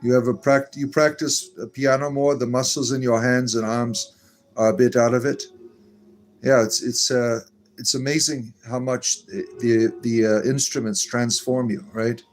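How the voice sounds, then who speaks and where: A man's voice: mid-range voice, steady tone, normal speed. A man hosting a live stream, reading viewer comments aloud and answering them in a meandering, chatty way. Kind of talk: casual live-stream chat.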